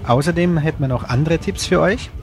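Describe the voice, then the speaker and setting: A man's voice: on the high side, brisk, darkish tone. A man presents informal local radio event tips, loosely repeating details for listeners.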